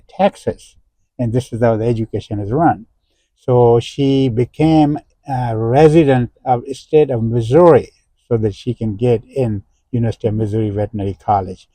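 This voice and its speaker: Older man, halting professorial host. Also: medium pitch, dark tone, no room sound. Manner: halting, many "uh" fillers, explanatory. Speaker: interview host, professor emeritus. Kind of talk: unscripted interview.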